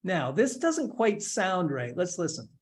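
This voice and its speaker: Male instructor in his forties, casual tutorial delivery, step-by-step with light humor, slightly high voice. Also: big pitch swings, measured pace. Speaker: instructor giving a software demo. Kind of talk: screen-share tutorial, casual explanation.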